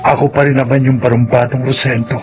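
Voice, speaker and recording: A Filipino actor playing a wronged, rough but loving older brother in a radio melodrama, earnest in his delivery. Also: medium pitch, little pitch movement, quick pace, lightly acted. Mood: tender, earnest. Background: music.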